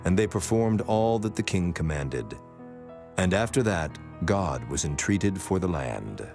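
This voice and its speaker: Low male narrator, solemn, measured, scripture storytelling, clear music underneath. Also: big pitch swings, darkish tone. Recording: prominent music behind the voice.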